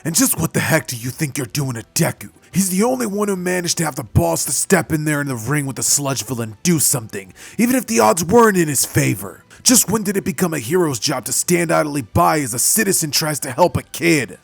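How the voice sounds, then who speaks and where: A man voicing a brash, hot-tempered teenage boy who scolds harshly, in a husky voice. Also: mid-range voice, very animated, normal speed, no room sound. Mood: scornful.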